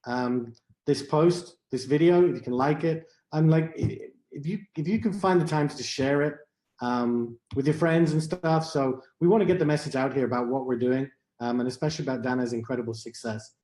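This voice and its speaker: A man's voice: medium pitch, brisk, a little mellow. A male co-host asking viewers for likes, stumbling and repeating himself with many fillers. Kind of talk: casual chat. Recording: roomy, distant call audio.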